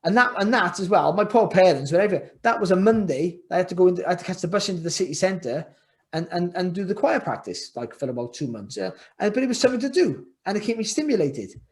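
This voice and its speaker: A man's voice: high voice, animated, fast. A middle-aged man from Wales, a former boxer chatting as a podcast guest over a video call. He talks earnestly, repeating phrases and restarting, then turns emphatic. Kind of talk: casual interview chat. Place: home room, indoors.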